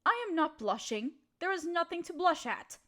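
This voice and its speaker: High-voiced, proper young noblewoman, acted by a woman. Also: expressive, moderate pace, a bit bright. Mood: apologetic, flustered. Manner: polite, formal, period phrasing, coyly teasing. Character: gentle, proper young noblewoman mistress talking kindly to her servant. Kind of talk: roleplay audio drama, intimate one-sided dialogue.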